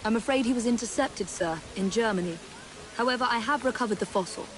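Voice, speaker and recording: A woman's voice: on the high side, fast talker, natural voice. An actress playing a poised, capable young Victorian woman with an English accent, polite and assured in a game cutscene. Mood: brisk, sober. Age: young adult. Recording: game audio with very noisy background.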